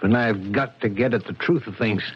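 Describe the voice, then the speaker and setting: An actor playing an earnest, polite police lieutenant who questions suspects haltingly in a 1970s radio drama. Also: male voice, fairly low pitch, slight background noise, lightly acted. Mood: gently probing. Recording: dull 1970s radio broadcast.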